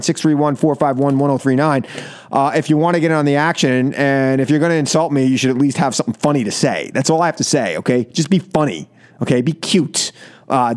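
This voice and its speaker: A man hosting a live political call-in radio show, animated and joking, repeating phrases for emphasis. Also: fairly high pitch. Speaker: radio talk show host. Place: radio studio.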